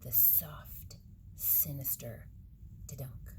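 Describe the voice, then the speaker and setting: A woman reading a picture book aloud to children, dramatically, with hushed suspenseful moments and asides to her young listeners. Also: very deep voice, steady tone, extremely slow, whispered. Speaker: read-aloud storyteller for children.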